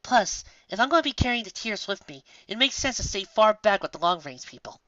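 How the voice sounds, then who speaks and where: A woman's voice: medium pitch, animated, a little fast, pushed and bright, dry recording, lo-fi sound, voice pushed up. A woman voicing a thoughtful, studious young female unicorn in a storybook reading, earnest and explanatory. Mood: earnest, pensive.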